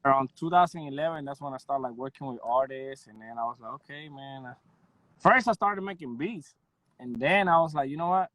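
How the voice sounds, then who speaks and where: A man's voice: medium pitch, fairly quick, no echo, lo-fi sound. Modest laid-back guy from Puerto Rico. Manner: relaxed and modest, with soft laughs and lots of "you know". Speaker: guest audio engineer. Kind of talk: casual interview chat.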